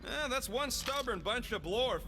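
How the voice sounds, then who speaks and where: A man voicing a cocky, gruff talking raccoon mechanic in a video game, with folksy slang and boastful wisecracks. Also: very high-pitched, expressive, unhurried, noisy background. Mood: impatient, needling.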